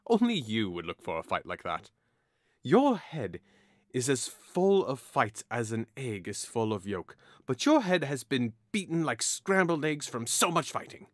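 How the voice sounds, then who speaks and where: A man playing a hot-headed, mocking young nobleman, swinging pitch. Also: very animated, a little slow, dry recording, clean studio sound. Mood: teasing, mocking. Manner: theatrical, taunting. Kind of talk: audio drama acting.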